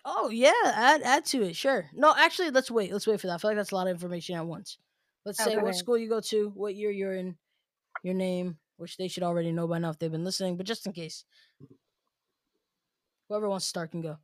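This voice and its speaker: A young adult hosting a casual podcast, upbeat and teasing, with quick asides. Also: mid-range voice, fairly bright tone, dry recording, studio quality. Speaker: podcast host.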